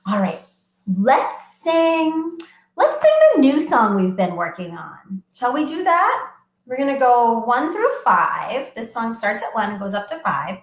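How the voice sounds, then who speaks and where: A children's librarian, a woman, reading picture books aloud and coaxing young children to join in with an animated, sing-song manner. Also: a little high, unhurried, echoey room.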